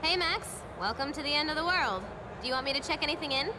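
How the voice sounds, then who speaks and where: A woman's voice: high voice, expressive, fast talker. An actress playing a chatty, gossipy young female student working a coat check in a video game. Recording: game audio over loud ambient noise.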